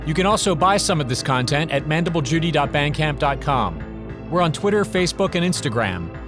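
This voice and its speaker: Slightly high-voiced man reading podcast credits. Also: very animated, brisk. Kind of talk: scripted podcast outro. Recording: music bed under the voice.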